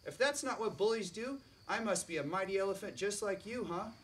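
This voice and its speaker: Scarab Queen, a shrill haughty bug as a man puts her on. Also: animated, a little fast, slight background noise. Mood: scolding. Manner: shrill, sharp and haughty, lecturing. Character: tiny bossy female scarab queen, proud and scolding. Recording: distant mic, faint crickets.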